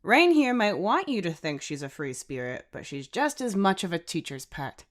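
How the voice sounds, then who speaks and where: A woman's voice: lowish voice, sing-song, moderate pace. Brief: female narrator as teasing teen lad, offhand, cheeky, slightly defensive, story dialogue. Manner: casual, teasing. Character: cheeky, teasing teenage boy.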